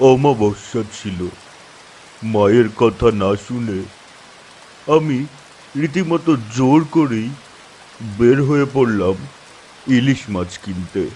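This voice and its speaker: A man playing an older, easygoing village uncle in an audio story, reminiscing in measured phrases with savouring pauses. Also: medium pitch, darkish tone, a little hiss or hum, voice pushed down, rough recording. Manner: measured, pausing, savouring his words.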